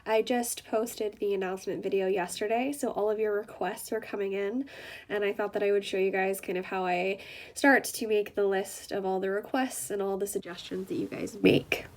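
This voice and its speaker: A woman with a middle-pitched voice talks casually to her viewers as a video creator. She explains things in an informal, conversational way, in the style of a behind-the-scenes vlog. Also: steady tone, moderate pace. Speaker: ASMR video creator and vlogger.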